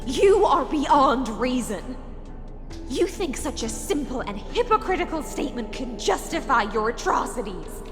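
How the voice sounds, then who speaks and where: Stern high-voiced lady calling out a tyrant, game drama, music n big echo. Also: very animated. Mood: indignant, reproachful. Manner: accusing, deliberate, formal. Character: stern, defiant woman challenging a tyrant. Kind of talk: dramatic video game voice acting. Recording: long reverb with music behind.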